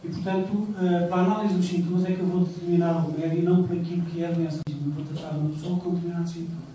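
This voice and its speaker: A man's voice: fairly high pitch, level tone, rapid-fire, mellow. A man giving a public talk, hesitant and repeating words before stating points firmly. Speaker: lecturer. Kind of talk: public talk with audience questions. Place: lecture room. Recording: echoey room from far off, noisy.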